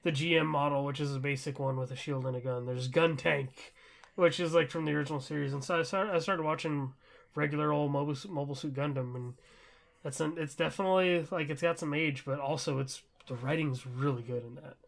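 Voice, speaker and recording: Young male podcast co-host, slightly high and very creaky, rambling enthusiastically in casual conversation. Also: brisk. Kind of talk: casual chat about shows and anime.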